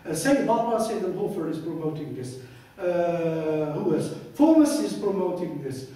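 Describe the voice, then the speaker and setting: A man's voice: a little high, normal speed. A middle-aged man from Algeria, English not his first language, giving a formal university lecture and firing rhetorical questions at his audience. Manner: animated, asks himself rhetorical questions. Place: lecture room. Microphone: far camera. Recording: echoey room from far off.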